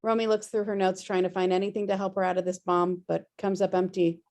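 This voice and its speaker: A woman's voice: medium pitch, level tone, fast talker, a little mellow. A woman reading screenplay stage directions as scripted narration on a video-call table read.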